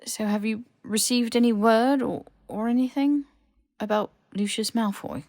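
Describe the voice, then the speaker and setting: A woman voicing an earnest, awkward teenage boy wizard in an audiobook, halting and stammering as the character defends himself. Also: a little high, creaky, a little mellow, dry recording, clean studio sound, voice pushed up.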